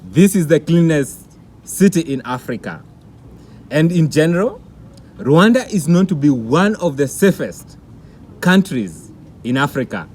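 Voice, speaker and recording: A man's voice: on the high side, very animated, a little slow, pauses often, darkish tone, a little hiss or hum. A man hosting a vlog, presenting formally and interviewing his guests. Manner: formal, announcer-like delivery. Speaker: vlog host.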